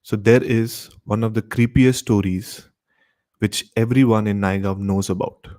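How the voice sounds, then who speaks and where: A man's voice: fairly low pitch, fairly even intonation, a little slow, dark tone. A man from Mumbai with an Indian accent, presenting a show to listeners as its host.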